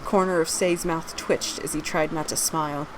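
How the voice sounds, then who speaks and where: A woman's voice: sits low, steady tone, normal speed, background noise. A woman reading her own fantasy story aloud, narrating scripted prose and its dialogue.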